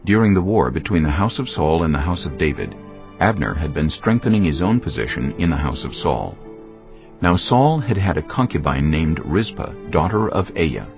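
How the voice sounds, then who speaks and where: A male narrator reads scripture in a very low, gravelly voice. His pitch moves expressively through the scripted storytelling. Faint music plays behind a slightly dull recording. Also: sing-song, normal speed. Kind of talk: scripted dramatized Bible narration.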